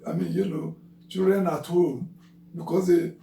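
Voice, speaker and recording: An older Nigerian man, Yoruba his first language, talks with a high, gravelly, mellow voice. He explains slowly and haltingly, full of fillers. The sound is roomy and distant, with a faint hiss behind it. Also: steady tone. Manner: slow, hesitant explaining with many fillers. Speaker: father of the host. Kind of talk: casual chat. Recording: roomy, from far off, faint noise.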